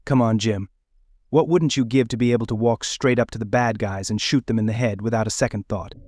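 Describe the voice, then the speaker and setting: An actor playing a cynical, dismissive cop in a motion comic dub, scoffing and persuasive. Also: male voice, on the low side, fairly even intonation, a little fast, a little mellow, no echo, clean studio sound, lightly acted. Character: cynical, dismissive male cop favouring rough justice.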